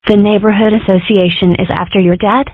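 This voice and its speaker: A woman's voice: medium pitch, steady tone, brisk, natural voice. A woman voicing a sardonic young fox girl in a comic dub, with a dry, wry delivery. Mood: dry, curious. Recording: muffled narrow-band lo-fi audio.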